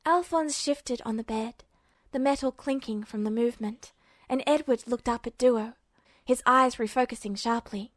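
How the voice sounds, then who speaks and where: A woman's voice: a little high, moderate pace, no echo, clean studio sound. A woman reading scripted fiction as the narrator of an amateur audiobook. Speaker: podfic narrator.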